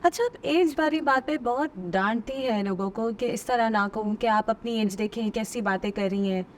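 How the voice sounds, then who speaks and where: A woman's voice: fairly high pitch, moderate pace. A woman hosting an interview, asking short prompting questions and mixing in English. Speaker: interview host. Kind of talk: casual interview chat.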